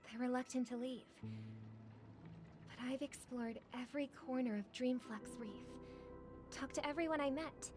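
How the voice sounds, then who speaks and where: A woman playing a gentle, idealistic young female singer in a game, with a soft, gracious and sincere manner. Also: on the high side, natural voice. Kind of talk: voiced game dialogue. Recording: music behind the voice.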